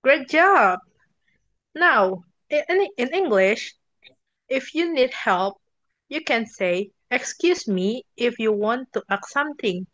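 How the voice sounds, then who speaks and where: A young woman leads a beginner English lesson at a slow, careful pace, her voice set a little high. She lifts it for praise between drills. The recording is dry and somewhat dull, with little room sound. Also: lively intonation, a bit bright, dry recording. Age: young adult. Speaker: teacher in a role-play English class. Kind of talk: slow, clear classroom instruction. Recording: dull, dry and slightly muffled.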